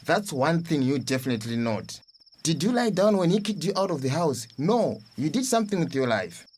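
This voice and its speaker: Lively, encouraging man. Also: a little high, a little fast, slightly rough. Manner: reassuring, earnest. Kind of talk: radio drama acting.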